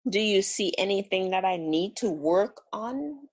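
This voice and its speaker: A woman with a Caribbean accent calls in and talks in a halting, earnest way, full of restarts. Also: medium pitch, animated, breathy edge. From the Caribbean islands. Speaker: caller. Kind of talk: casual call-in conversation.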